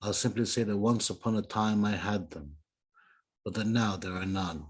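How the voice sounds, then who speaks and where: A man's voice: lowish voice, steady tone, moderate pace, husky. A man speaking as a poet at an online reading, sharing his own work and talking informally around it. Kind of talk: poetry reading, then casual thanks.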